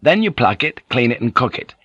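Man playing a blunt European seafarer, animated delivery. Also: mid-range voice, fairly quick, husky, no room sound. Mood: matter-of-fact. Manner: blunt, opinionated. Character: blunt, irritable European seafarer living in Japan.

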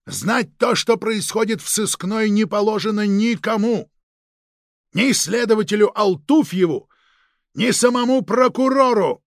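A male narrator acts out a domineering police chief in a crime audiobook. The voice sits fairly high, with a gravelly creak and a very dry, close sound. He interrogates with suspicion and hard authority. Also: sing-song, normal speed, no echo, exaggerated voice. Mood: angry, stern. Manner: commanding, interrogating, barking orders. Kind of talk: dramatic audiobook character voice.

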